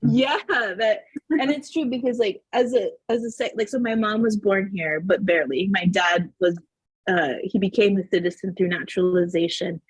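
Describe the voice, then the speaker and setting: Animated, husky-voiced woman storyteller. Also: medium pitch, moderate pace, no echo, rough recording. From Southern New Mexico. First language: Spanish. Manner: animated, laughing, full of "like". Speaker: podcast guest. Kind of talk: casual interview storytelling.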